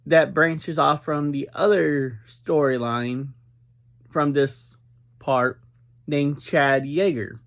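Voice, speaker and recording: Slow, halting man with a higher voice. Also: fairly even intonation. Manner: halting, deliberate phrasing with frequent breaks. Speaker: reviewer and host of an episode review series. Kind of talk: informal recap and commentary, unscripted.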